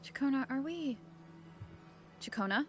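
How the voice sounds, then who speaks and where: A woman playing a puzzled, searching young adventurer in a game, speaking in a hushed, questioning way. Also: high voice, expressive, a little slow, faint music behind. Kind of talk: game dialogue voice acting.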